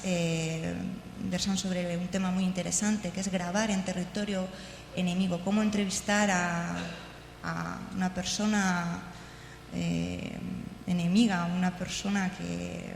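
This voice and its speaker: Creaky-voiced woman in an echoey hall. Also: lowish voice. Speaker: conference speaker. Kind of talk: informal presentation. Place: large conference room, indoors. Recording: very echoey hall, faint noise.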